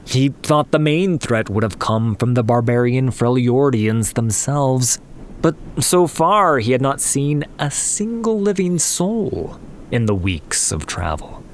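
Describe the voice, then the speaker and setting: A man narrating a fantasy story in a measured, solemn way with weighted pauses, as in a scripted dramatic audiobook. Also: medium pitch, a little hiss or hum. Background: background music.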